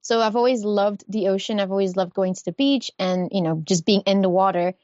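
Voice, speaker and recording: A woman in her thirties from Haiti, a guest speaking in a relaxed, reflective way with easy laughter. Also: mid-range voice, a little fast, no echo. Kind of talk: conversational interview. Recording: dull dry remote line.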